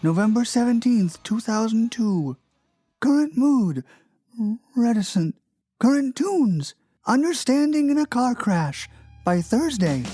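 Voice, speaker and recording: A performer plays a defeatist young cartoon character reading a moody online journal. The delivery is mournful and theatrical, with very varied pitch over a faint music bed. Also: big pitch swings, slow pace, warm tone, breathy edge, no room sound, heavily acted. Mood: gloomy, resigned. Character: gloomy, self-pitying young cartoon character reading his blog. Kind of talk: dramatic reading of a moody blog.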